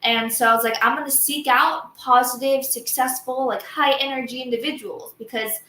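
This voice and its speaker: Bright, chatty woman in an echoey room. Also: high-pitched, fairly even intonation. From Southern Florida, US. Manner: chatty, fast, says "like" often. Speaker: guest entrepreneur. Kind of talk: casual interview. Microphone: video call.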